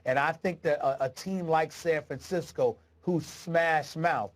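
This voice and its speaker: Man, slightly high voice, congested, sniffling, deliberate sports debater.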